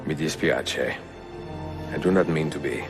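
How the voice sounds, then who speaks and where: An actor playing an aging Italian master assassin, grave, courteous and world-weary, in a video game, with an Italian accent and a gravelly voice. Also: male voice, a bass voice, unhurried. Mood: courteous, gentle. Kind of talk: cinematic video game acting. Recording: music behind the dialogue.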